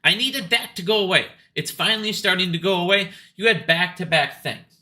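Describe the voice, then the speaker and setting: A man with a very bright, hard-edged voice that sits a bit high. He talks off the cuff about money and business in a blunt, emphatic way, swearing freely and hammering his points by restating them. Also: brisk. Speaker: retail investor commentator. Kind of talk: casual opinionated monologue about business.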